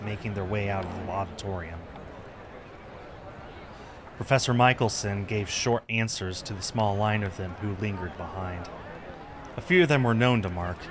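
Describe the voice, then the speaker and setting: Low-pitched man narrating a story. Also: noisy background. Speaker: streamer reading a visual novel aloud.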